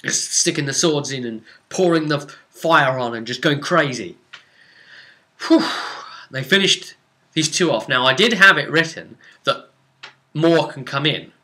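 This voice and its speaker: A man's voice: fairly high pitch, animated, a little slow, sharp tone. A man casually recapping a tabletop role-playing session as game master, telling it dramatically in a rambling, vivid way. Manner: dramatic, rambling retelling with vivid action descriptions. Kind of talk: casual animated storytelling.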